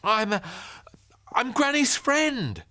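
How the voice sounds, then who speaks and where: A man voicing a nervous young boy in a storybook, with a squeaky, stammering voice. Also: wildly swooping pitch, slow, pushed and bright, exaggerated voice. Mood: panicked.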